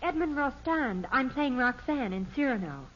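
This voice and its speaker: A woman's voice: sits high, animated, moderate pace. A woman playing a polite, earnest teenage daughter in a 1950s radio sitcom. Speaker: actor in a family sitcom. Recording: muffled old radio recording, crowd noise behind.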